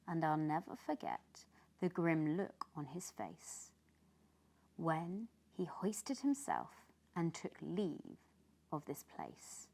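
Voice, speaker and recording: A woman with a British accent narrating a children's story dramatically, as an expressive read-aloud storyteller. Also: on the low side, creaky. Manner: expressive, with hushed dramatic passages.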